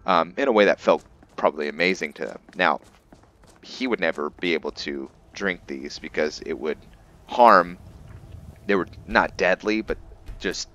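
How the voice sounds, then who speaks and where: A man giving casual, improvised game commentary in a halting, think-aloud manner as he narrates a roleplay playthrough. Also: low-pitched, fairly bright tone, muffled recording. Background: background game music.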